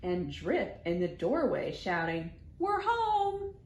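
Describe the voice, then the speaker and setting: Casting: female children's storytime reader, unhurried and sing-song, playful with sound words, recorded in an echoey room. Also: mid-range voice, huge pitch swings. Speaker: children's librarian, storytime host. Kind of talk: expressive picture-book read-aloud for young children, with rhymes. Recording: roomy echo, faint background noise.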